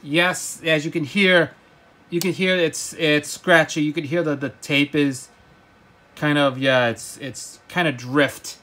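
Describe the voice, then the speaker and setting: Male hobbyist presenter, slightly higher-pitched voice, casual demo style, stumbles and repeats himself. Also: fairly even intonation, bright, forceful tone. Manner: halting, repeats words and stumbles. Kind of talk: casual gadget demonstration to camera.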